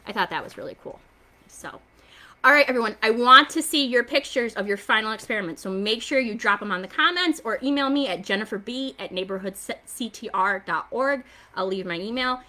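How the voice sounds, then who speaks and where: A woman with a fairly high, very bright voice leads a science activity for young children. She sounds like an excitable, encouraging story time host, speaking simply and directly to her little viewers. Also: brisk.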